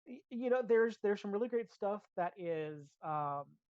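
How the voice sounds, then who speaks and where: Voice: medium pitch, animated, unhurried, clear, resonant tone, no room sound. A podcast co-host chatting casually, explaining details with enthusiasm, on slightly dull, lo-fi audio.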